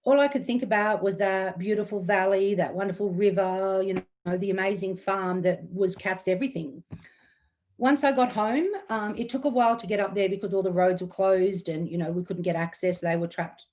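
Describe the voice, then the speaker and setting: A woman in her fifties from Australia, telling a personal story in a talk, earnest and close to tears, slightly croaky. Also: medium pitch, fairly even intonation, brisk, a little mellow, dull audio. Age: 50s. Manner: earnest and emotional, with frequent ums. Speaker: guest storyteller.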